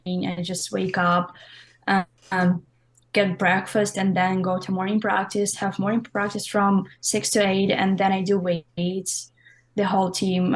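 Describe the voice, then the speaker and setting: A young woman from Russia with a Russian accent, giving casual, loosely phrased interview answers as a college swimmer. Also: mid-range voice. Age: young adult. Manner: informal, loosely phrased, frequent "like".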